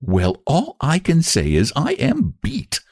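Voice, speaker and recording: A man voicing a sharp, jealous country spinster with a drawling, snappish way of talking in a storybook reading. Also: fairly high pitch, wildly swooping pitch, slow, gravelly, slightly rough, no room sound, clean studio sound, pitched above the performer's own voice, heavily acted. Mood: sniping. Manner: drawling, snappish rural speech. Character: Lottie, sharp, jealous, sniping spinster woman.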